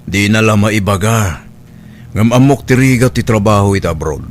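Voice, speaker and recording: A man's voice: on the low side, normal speed, slight background noise, natural voice. A man playing a stern, protective uncle in a radio drama, with a Filipino accent and a lecturing, reproving manner. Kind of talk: Ilocano radio drama acting.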